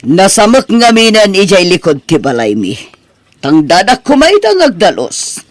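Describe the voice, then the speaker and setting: A man's voice: high-pitched, wildly swooping pitch, measured pace, no echo, clean studio sound, heavily acted. A man playing a gossipy, scornful rural relative in an audio family drama, chatty and mocking. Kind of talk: Ilocano radio-style drama acting.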